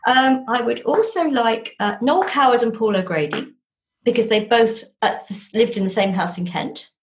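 A woman chatting casually as an interview guest, telling anecdotes in an animated way. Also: on the high side, moderate pace. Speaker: interviewed novelist. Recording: echoey, roomy sound.

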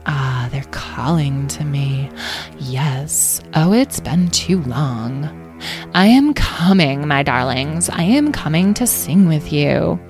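A grand, testy magical creature from a storybook, acted out by a woman narrator. It speaks with theatrical self-importance and never sounds sorry, stretching words for effect over soft background music. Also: low voice, animated, heavily acted. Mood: dreamy, yearning. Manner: drawn-out, theatrical, haughty delivery.

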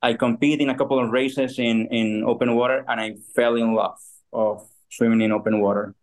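A man in his thirties from Ecuador, a guest on a podcast who speaks with frequent pauses and restarts. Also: medium pitch, flat delivery, low-quality recording. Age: 30s. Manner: halting, with fillers and restarts. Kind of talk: casual interview.

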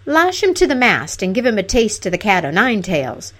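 A woman's voice: medium pitch, sing-song, moderate pace, a bit bright. A woman voicing a stern male admiral in a fairy tale, sarcastic and commanding. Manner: sarcastic, barking orders. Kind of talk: fairy tale storybook character.

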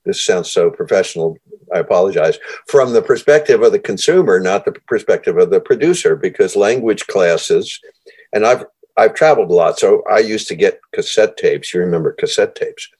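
A man's voice: low voice, animated, moderate pace, darkish tone, husky. A man hosting an interview. He is enthusiastic and rambles, with many restarts along the way. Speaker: interview host. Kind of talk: conversational interview.

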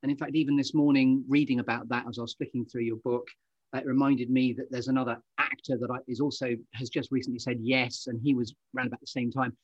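A man's voice: medium pitch, flat delivery, fast talker, slightly rough, no room sound, muffled recording. A man hosting a podcast interview in an effusive, chatty way, with wordy, meandering asides. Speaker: podcast host.

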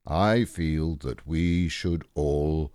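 Man as a gloomy, ponderous, deep-voiced donkey. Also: drawn-out words, darkish tone, clear, resonant tone, no echo, studio quality, heavily acted. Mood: pompous. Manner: pompous, ponderous, stern. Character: gloomy, self-important old grey donkey.

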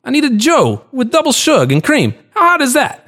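A man's voice: very high voice, wildly swooping pitch, normal speed, fairly bright tone, pitched above the performer's own voice, full character voice. A man voicing a lumpy, jittery stranger in withdrawal who screams indignantly. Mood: outraged.

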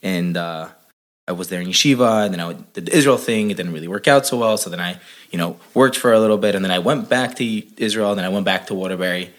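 Young male guest from Chile, deep voice, easygoing talker. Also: quick pace. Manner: laid-back, lots of "like" and "uh". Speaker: podcast guest. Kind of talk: casual interview chat.

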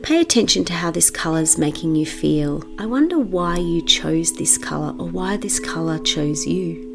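A woman leading a guided meditation for children in a gentle, measured manner with long pauses. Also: on the low side, animated, creaky, background noise.

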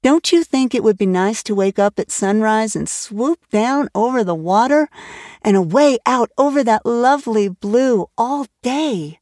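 Woman reading as a dreamy chatterbox orphan girl, high and gushing, dry close sound. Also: expressive, measured pace, a little mellow, no room sound. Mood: rapturous. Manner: breathless, gushing, wide-eyed.

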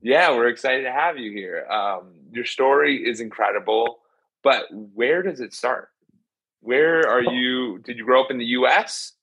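A man's voice: medium pitch, measured pace, a bit bright, slightly rough, no room sound, dull audio. A man hosting a podcast, reading an upbeat scripted intro and then asking interview questions. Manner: upbeat, promotional. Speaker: podcast host.

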